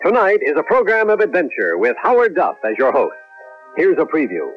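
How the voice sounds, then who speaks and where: A man's voice: fairly high pitch, huge pitch swings, fairly quick, soft background music. A man announcing a 1970s radio show in a polished, promotional scripted style. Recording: muffled old radio broadcast.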